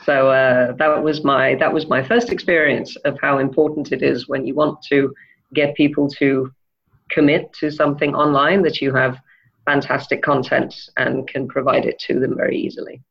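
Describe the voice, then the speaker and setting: A woman from Denmark giving thoughtful interview answers, pausing often with ums and uhs. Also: very deep voice, flat delivery, vocal fry, slightly rough, dull audio. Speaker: interview guest, e-commerce manager.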